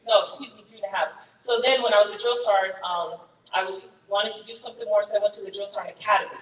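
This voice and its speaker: A woman in her thirties, raised in Germany with German as her first language, telling her Army career story to fellow soldiers in a candid, energetic way. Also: medium pitch, quick pace, echoey room, in a large hall, distant mic, low-quality recording. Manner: candid, energetic, many fillers. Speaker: Army first sergeant, guest speaker. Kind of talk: personal story talk to soldiers.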